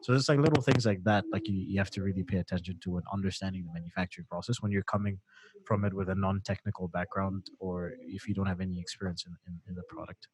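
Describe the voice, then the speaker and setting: A man's voice: low voice, fast talker, crowd noise, poor audio. A man hosting a live-stream chat about manufacturing. He talks loosely and uses plenty of fillers. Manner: relaxed, reads out viewer comments, many fillers. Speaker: host of a sourcing show.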